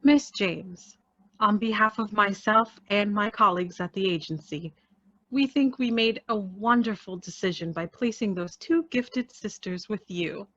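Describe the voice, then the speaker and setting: A woman's voice: medium pitch, measured pace, a bit bright, lo-fi sound. A woman playing a polite, formal professional in a radio play. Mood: kindly.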